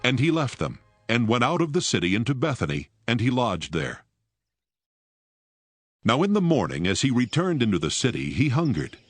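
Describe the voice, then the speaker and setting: A man's voice: mid-range voice, huge pitch swings, normal speed, no echo. A man narrating scripture from a script in a formal, dramatic storytelling manner. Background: prominent background music.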